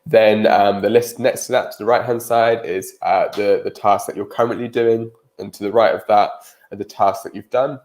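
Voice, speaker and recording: A man's voice: on the low side, steady tone, moderate pace, a little mellow. A British man with a cold and a nasal voice, giving a live-streamed career webinar presentation with frequent ums.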